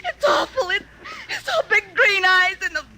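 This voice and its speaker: A woman's voice: very high-pitched, sing-song, normal speed. An actress playing a terrified, hysterical young woman, wailing and pleading through tears in an old radio drama. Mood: hysterical terror. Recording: very noisy vintage radio recording.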